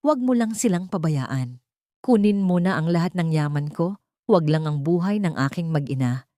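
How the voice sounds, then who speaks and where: A grieving old father pleads quietly in prayer, as a woman narrator reads him. The voice sits low and mellow, with a dry close sound. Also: lively intonation, normal speed, no echo, lightly acted. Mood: pleading prayer. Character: grieving elderly father, wealthy and devout.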